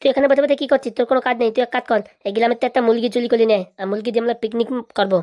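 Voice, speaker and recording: Woman voicing a bossy lisping little boy, rapid-fire. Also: a little high, steady tone, a little mellow, no echo, studio quality, lightly acted. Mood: bossy, threatening. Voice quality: childish lisp. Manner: bossy, threatening, scolding. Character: bossy little boy gang leader, cocky bully. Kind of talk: comic cartoon dialogue.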